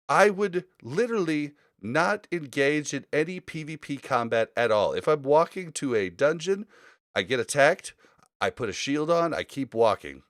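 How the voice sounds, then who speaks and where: A man's voice: a little high, fairly bright tone, no room sound, clean studio sound. A man chatting casually on a gaming podcast, giving opinions in a rambling, storytelling way. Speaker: podcast host.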